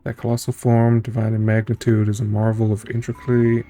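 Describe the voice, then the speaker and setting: A man with a low, very mellow voice reads game text aloud. He muses casually between passages as a streamer would. Soft music plays behind him. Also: monotone, fast talker. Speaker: streamer playing through a story game.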